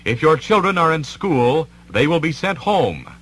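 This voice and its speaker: A man reading an official emergency announcement in a vintage civil defense radio style, formal, deliberate and authoritative. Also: on the high side, big pitch swings, fairly bright tone.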